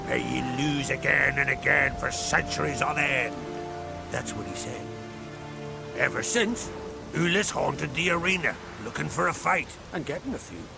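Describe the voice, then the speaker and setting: An actor playing a blunt, coarse, excitable male villager in a video game, with a rough, emphatic way of talking. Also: mid-range voice, moderate pace, loud music behind the voice. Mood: animated. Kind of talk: video game cutscene acting.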